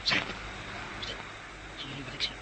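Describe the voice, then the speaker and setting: An actor playing a cocky, reckless adventurer in a radio play, breezy, bossy and full of banter. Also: male voice, moderate pace, bright, forceful tone, a little hiss or hum, rough recording. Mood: bold.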